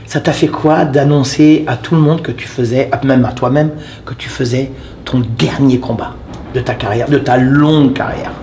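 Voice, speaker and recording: A man's voice: mid-range voice, fairly even intonation, moderate pace, a little mellow, a little hiss or hum. Effusive man, roomy echo. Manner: effusive, repeats thanks and compliments, many restarts. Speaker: interviewer and channel host. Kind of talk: casual interview chat.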